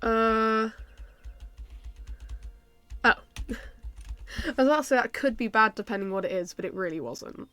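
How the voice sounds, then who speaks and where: A young woman with a stuffy, congested voice chats through a video game, her pitch sitting a touch high. She thinks aloud, hesitating with ums and small laughs. Soft game music plays faintly under her. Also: measured pace, long pauses. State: stuffy from a cold. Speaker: gaming YouTuber. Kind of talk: casual game commentary.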